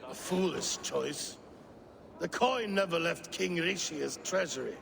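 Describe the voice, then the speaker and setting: Scheming Viking jarl, grand and theatrical, gravelly, lively pitch. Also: male voice, a little slow, a crowd in the background, full character voice. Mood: regal. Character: proud scheming Viking jarl, middle-aged man.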